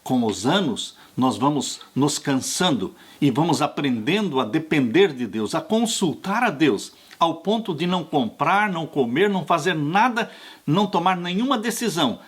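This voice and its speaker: A man in his sixties, a pastor, preaching an earnest and unhurried sermon that addresses his listeners tenderly as dear ones. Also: fairly high pitch, lively intonation, slightly rough. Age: 60s. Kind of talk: sermon on a Bible study lesson.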